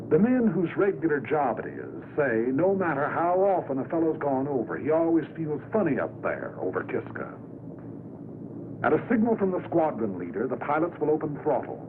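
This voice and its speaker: Expressive 1940s American male newsreel-style narrator. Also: a little high. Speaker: documentary narrator. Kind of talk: 1940s wartime documentary scripted narration. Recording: scratchy 1940s film soundtrack, narrow band, noisy.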